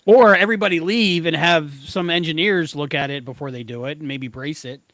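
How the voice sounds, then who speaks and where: Bright-voiced man, offhand podcast chat. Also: fairly high pitch, fairly quick, no room sound. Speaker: podcast co-host. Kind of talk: casual banter about a show.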